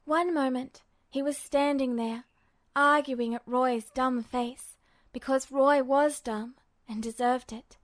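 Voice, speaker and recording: High-voiced woman narrating fiction. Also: fairly even intonation, slow pace, dry recording, studio quality. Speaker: podfic narrator.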